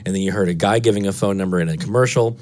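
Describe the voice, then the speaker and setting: Deep-voiced man walking through a tutorial. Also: racing, darkish tone. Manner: thinks aloud, step by step. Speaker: presenter of a radio DXing tutorial. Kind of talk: casual screen-walkthrough tutorial.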